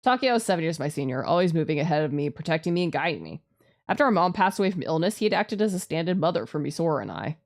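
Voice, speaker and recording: Low-pitched woman streaming a visual novel. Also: big pitch swings, racing. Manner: casual reacting asides, sweary. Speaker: VTuber game streamer. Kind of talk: let's play, reading visual novel text aloud with casual commentary. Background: background music.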